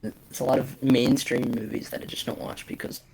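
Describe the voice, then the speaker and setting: A man in a casual podcast chat, giving blunt opinions in a halting way and often repeating his phrases. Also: mid-range voice, level tone, gravelly, darkish tone, slight background noise, poor audio. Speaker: podcast host discussing films and games.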